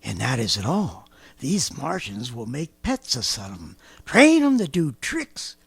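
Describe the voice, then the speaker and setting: A man's voice: medium pitch, huge pitch swings, a little slow, gravelly, a bit bright, husky, full character voice. An actor in 1930s radio drama style playing a fanatical survivalist artilleryman with an American accent, ranting colloquially and swinging from brooding to frenzied. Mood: scheming, intense. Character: fanatical survivalist artilleryman, swept up in grandiose schemes.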